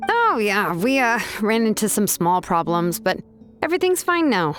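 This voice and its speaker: Breezy, lively young adventurer. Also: female voice, medium pitch, normal speed, soft background music. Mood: playful. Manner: casual, breezy. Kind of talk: video game voice acting.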